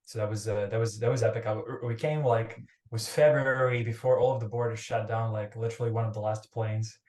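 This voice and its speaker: A man's voice: on the low side, steady tone, fairly quick, darkish tone. A young man from Ukraine, a startup founder talking casually as a podcast guest, rambling and restarting his phrases. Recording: roomy echoey remote recording.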